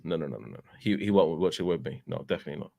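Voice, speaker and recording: A man in his thirties with a London accent, chatting casually as a fan channel host, telling animated stories with lots of filler tags. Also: very deep voice, racing, creaky, dry recording. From England. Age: 30s. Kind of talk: casual chat.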